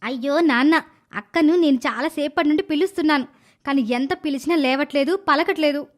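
Casting: woman as a scheming little stepsister for a cartoon moral tale, very high voice, whiny and dramatic. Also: fairly quick, lightly acted. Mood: anxious, pleading. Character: spiteful young village girl, scheming stepsister. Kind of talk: animated moral story dialogue.

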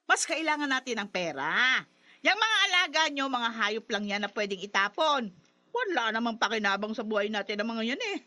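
A woman's voice: on the high side, lively intonation, normal speed, sharp tone, dry recording. A woman playing a scolding middle-aged mother in a Filipino radio drama, emphatic and repeating herself. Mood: dismissive. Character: nagging, scolding middle-aged mother who resents her children's cats.

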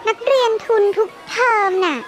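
A woman's voice: normal speed, lightly acted. A woman voicing a haughty rich teenage schoolgirl who mocks scholarship students, in a fan-made cartoon. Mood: sneering. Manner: sneering, mocking. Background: background music.

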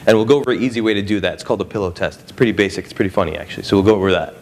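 A man's voice: fairly low pitch, racing. A young man presenting a trading seminar to a live audience, conversational, often checking in with the room. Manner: conversational, frequent "right?" and "okay?" check-ins with the audience. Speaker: trading strategist presenting at an expo.

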